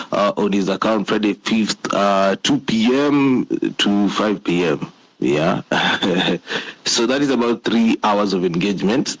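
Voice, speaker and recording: Male host, low husky voice, lively pitch, laughs often. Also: rough recording. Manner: lively, laughing between remarks. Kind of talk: hosting a live audio space.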